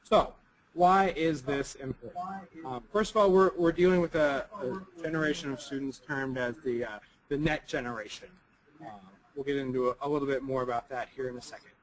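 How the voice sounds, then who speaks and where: Young guy a bit raspy from a cold, giving a talk, lots of ums and restarting sentences. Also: medium pitch, poor audio. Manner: halting, many ums and restarts. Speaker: presenter. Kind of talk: recorded conference presentation.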